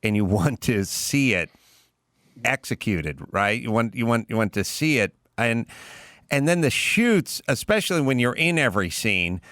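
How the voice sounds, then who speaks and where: A middle-aged man hosting a casual podcast interview, asking questions in a relaxed, thoughtful way. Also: medium pitch, moderate pace, no echo, clean studio sound. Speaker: podcast host.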